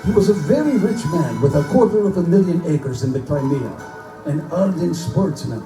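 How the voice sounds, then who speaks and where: A man's voice: on the high side, lively intonation, moderate pace. Man playing a suave, boastful aristocratic hunter, echoey. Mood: proud, reminiscing. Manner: courtly, boastful, theatrical. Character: suave, aristocratic Russian general, cold obsessive big-game hunter. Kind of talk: live dramatized pulp-fiction stage reading. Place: bookstore live performance before microphone. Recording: echoey live room, distant mic, music underneath.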